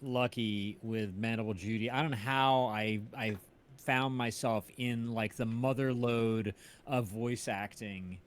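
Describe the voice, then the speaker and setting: A man chatting casually in an interview as an audio drama creator, telling animated anecdotes and often checking in with tag questions. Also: mid-range voice, measured pace, smooth. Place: indoors, on a group video call.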